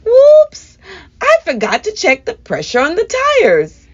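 A woman's voice: extremely high pitch, huge pitch swings, unhurried, dull audio, pitched above the performer's own voice, heavily acted. A woman voicing a sweet grandmother who fusses lovingly over her grandson in a children's storybook. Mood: sweet, doting. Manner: sweet, coaxing.

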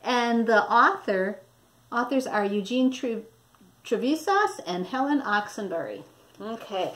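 A woman in her sixties reading a storybook aloud to children in an animated, deliberate, teacherly manner. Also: on the high side. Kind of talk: expressive read-aloud to children. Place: small indoor room.

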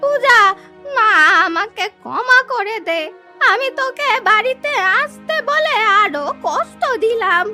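A woman's voice: very high voice, expressive, slow pace, pushed and bright, faint music behind, full character voice. A woman voicing a kind, stubborn old village grandmother in a children's cartoon, with an affectionate, emotive manner. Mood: tearful, apologetic.

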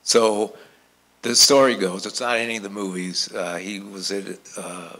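An older man telling an anecdote to an audience in a casual, halting, conversational way. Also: lowish voice, steady tone, bright, forceful tone. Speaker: panel speaker. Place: hotel conference room with audience. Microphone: handheld microphone.

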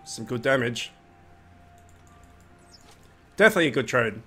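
A young man hosting a casual gaming video, sounding nasal from a cold, chatty and relaxed with lots of fillers. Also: medium pitch, measured pace, slightly rough. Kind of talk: casual gameplay commentary.

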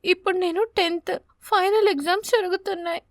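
A woman voicing a young schoolgirl sparrow in an animated story, loud, complaining and sharp-tongued. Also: extremely high pitch, a little fast, no room sound, clean studio sound, pitched above the performer's own voice. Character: young schoolgirl sparrow, fed up and outspoken daughter. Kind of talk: animated bedtime story character.

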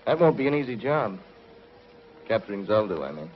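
Grave scientist, lively intonation. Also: male voice, on the low side, brisk. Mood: grave doubt. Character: bearded scientist doctor aboard a rocket, grave, thoughtful. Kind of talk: 1950s television serial acting. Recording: dull 1950s TV soundtrack, faint noise.

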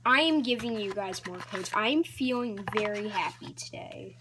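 A woman hosting a casual card-opening vlog, talking in a hesitant, rambling way with many ums and restarts, sometimes dropping to a soft whisper. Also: medium pitch, expressive, moderate pace, background noise. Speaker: channel host.

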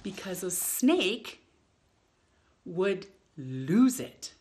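A middle-aged woman hosting storytime, reading to children in an expressive, drawn-out way with playful emphasis. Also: lowish voice. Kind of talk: reading a picture book aloud to children. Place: indoors in a home room.

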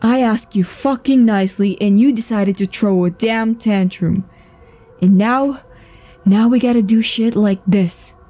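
A woman's voice: mid-range voice, a little slow, warm tone, natural voice. A woman voice actor playing a tense, foul-mouthed, commanding woman with a secret in a dramatic audio roleplay, urgent and swearing. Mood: cold, threatening. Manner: swearing, urgent, breathy sighs and stammers. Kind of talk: dramatic ASMR roleplay acting.

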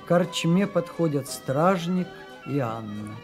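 A man's voice: on the high side, animated, slow pace, over music. A man reading scripted narration as the narrator of a radio play.

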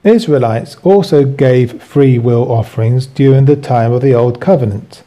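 A man reading a scripted religious lesson aloud as a teacher, in measured phrases. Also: medium pitch, warm tone. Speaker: Bible teacher.

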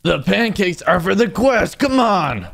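A man's voice: high voice, very animated, a little slow, vocal fry, fairly bright tone, heavily acted. A man voicing an earnest young stick-figure cook who leads his friends in an amateur cartoon dub, giving instructions. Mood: eager, instructing.

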